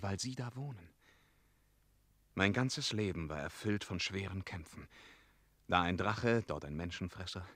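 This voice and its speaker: An earnest, lovestruck knight in a radio drama. His voice is intense and slightly rough, moving between hushed tenderness and declamation, in a dry studio. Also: lowish voice, no echo. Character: ardent, tender knightly hero, a dragon slayer. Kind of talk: German radio play acting.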